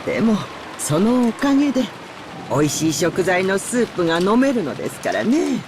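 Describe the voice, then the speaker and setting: A woman's voice: mid-range voice, sing-song, fast, close to an everyday voice. A woman playing a cheerful elderly female servant in a Japanese visual novel, with a polite, theatrical, cackling manner. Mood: pleased. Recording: rain noise behind dialogue. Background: rain.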